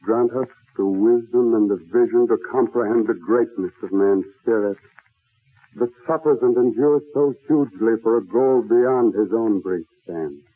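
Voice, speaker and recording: A man playing a solemn, visionary voice of mankind, delivering earnest, measured oratory in 1940s radio style. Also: medium pitch, breathy edge, natural voice. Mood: solemn, hopeful. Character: solemn, visionary everyman, timeless spirit of mankind. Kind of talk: 1940s radio dramatic recitation, prayer-like. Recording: tinny 1940s radio broadcast with music behind.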